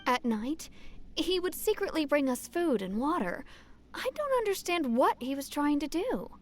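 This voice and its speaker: A gentle, anxious village mother from a video game, earnest and pleading as she frets. Her voice sits very high and rises and falls with lively swings. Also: normal speed, a little hiss or hum.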